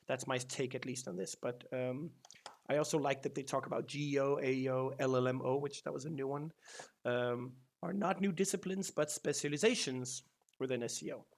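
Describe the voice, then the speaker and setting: A man's voice: medium pitch, creaky. A man hosting a casual news roundup on his channel, talking loosely, with many ums and false starts. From Denmark. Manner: loose and rambling, lots of ums and restarts. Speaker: SEO news channel host.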